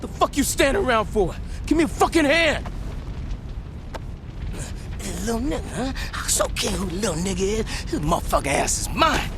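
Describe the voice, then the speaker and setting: Brash young gang lieutenant: high, wildly swinging pitch, resentful, taunting game-cutscene delivery. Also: male voice, sing-song, brisk, background noise. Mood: furious. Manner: confrontational, taunting, foul-mouthed street talk. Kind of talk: video game cutscene acting.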